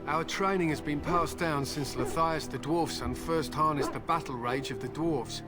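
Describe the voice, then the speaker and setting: A man's voice: on the high side, normal speed, lightly acted. A man voicing a grim, stern warrior. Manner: solemn, curt, proud. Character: stern male human warrior, grim hunter with war hounds. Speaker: game character. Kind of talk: voiced game dialogue. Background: loud game music.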